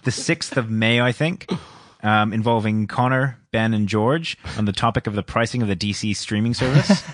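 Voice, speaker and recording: A man co-hosting a casual podcast chat, telling stories with lots of laughter and animated, shifting delivery. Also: fairly low pitch, moderate pace, no echo. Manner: animated storytelling, laughs often. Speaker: podcast co-host.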